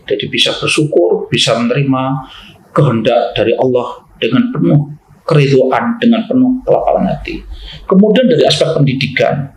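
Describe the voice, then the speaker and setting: A man's voice: medium pitch, normal speed, a little hiss or hum. A man giving unscripted opinion commentary in a didactic, emphatic way, repeating phrases and posing rhetorical questions. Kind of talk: unscripted opinion commentary in an explanatory, lecturing style. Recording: roomy, echoing room sound.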